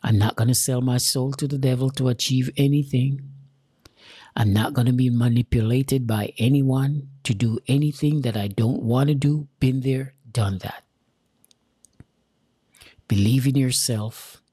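A Jamaican-accented man from Jamaica giving an earnest, deliberate motivational talk straight to his viewers, repeating key lines for emphasis. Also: mid-range voice, steady tone, mellow, breathy edge, dry recording, studio quality. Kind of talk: motivational direct-to-camera talk.

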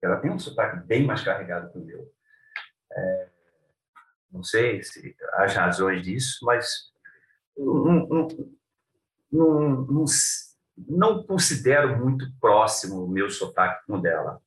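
A man from Cuiabá speaks with that region's accent, his pitch swinging widely as he talks. He stumbles, restarts and fills pauses while answering questions. His voice comes from a distance across an echoey room. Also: lively intonation. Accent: Cuiabá Portuguese. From Cuiabá, Brazil. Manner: hesitant, many restarts and fillers. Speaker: prosecutor interviewee. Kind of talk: interview answers.

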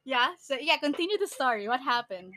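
A woman's voice: high-pitched, big pitch swings, a little fast, pushed and bright, no room sound, studio quality. A woman from the Philippines with a Filipino accent, co-hosting a podcast in casual chat, laughing often and restarting her sentences. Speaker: podcast co-host.

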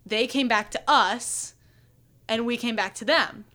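Hoarse young woman telling a story. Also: a little high, a little slow, bright, forceful tone. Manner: chatty storytelling, many fillers. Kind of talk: casual chat.

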